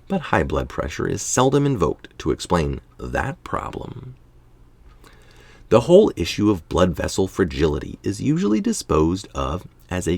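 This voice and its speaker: Casting: tired man, middle-aged, low creaky voice, informal article reader who drops in offhand remarks. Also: darkish tone, no echo. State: tired late at night. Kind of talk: reading an article aloud with casual asides.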